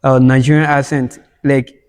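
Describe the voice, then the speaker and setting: A man's voice: medium pitch, level tone, moderate pace. A young man from Liberia, talking casually on a podcast and agreeing often with his host. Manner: agreeable, chimes in with short agreements.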